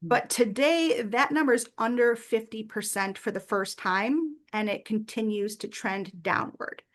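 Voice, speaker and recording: A woman's voice: fairly high pitch, measured pace. A woman presenting a workshop, telling a personal story earnestly with frequent fillers. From United States.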